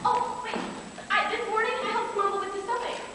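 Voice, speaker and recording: A high-voiced, innocent little girl, played by a young woman on an amateur stage. She is eager and excitable, heard from far off in a big echoing hall with clear background noise. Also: fairly quick. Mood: cheerful, eager. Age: young adult. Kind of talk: amateur school stage acting. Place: theatre stage, distant microphone. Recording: echoey hall from far off, noisy.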